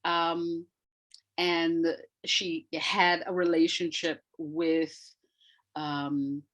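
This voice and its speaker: A woman's voice: on the low side, level tone, extremely slow, fairly bright tone. A woman from the United States speaking as an interview guest, explaining things conversationally and thoughtfully, often searching for the right word and stressing key points. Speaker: interview guest, audio drama writer.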